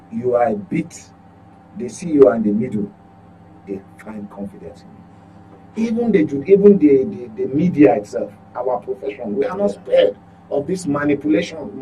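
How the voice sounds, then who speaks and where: A Nigerian man delivers opinionated political commentary in a medium-pitched voice. He is emphatic, repeating his points and posing rhetorical questions to press them home. A clear humming noise sits behind his voice. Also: mellow, low-quality recording. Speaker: journalist, commentator. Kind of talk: current affairs talk, opinionated commentary.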